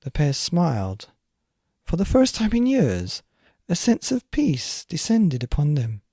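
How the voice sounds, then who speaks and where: A man narrating a children's bedtime story in an expressive, theatrical and deliberate way. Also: on the high side, slightly rough, dry recording, studio quality. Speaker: podcast narrator.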